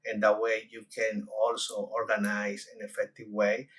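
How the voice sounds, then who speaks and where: A man in his forties from Colombia, a designer presenting a conference session and walking through software with frequent hesitations. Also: on the low side, monotone, moderate pace, slightly rough.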